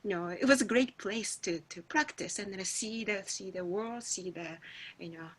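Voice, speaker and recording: A middle-aged Japanese woman whose first language is Japanese, telling her story in halting English on a video call as a guest musician. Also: mid-range voice, a bit bright, slightly rough, poor audio. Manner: halting, searching for words in a second language. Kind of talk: reflective personal storytelling.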